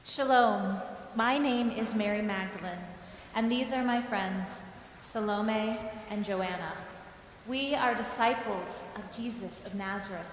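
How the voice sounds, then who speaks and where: A woman's voice: medium pitch, unhurried, close to an everyday voice. A woman playing a grieving female disciple in a church dramatic reading, earnest and emphatic, in short phrases. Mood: solemn greeting. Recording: very echoey church hall with background noise.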